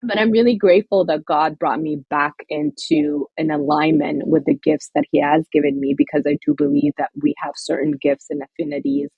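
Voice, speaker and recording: A woman's voice: deep voice, steady tone, brisk, gravelly, few pauses, slightly rough, no echo, dull audio. A woman from Somaliland, an author and guest, talking earnestly and reflectively in an interview, with many fillers and restarts. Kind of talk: interview conversation.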